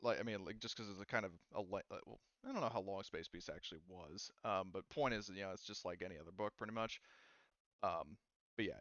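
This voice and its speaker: Clear-voiced man, halting game master. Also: on the low side, fairly bright tone, no echo, highs cut off. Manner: halting, conversational explaining. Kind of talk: casual improvised tabletop game narration.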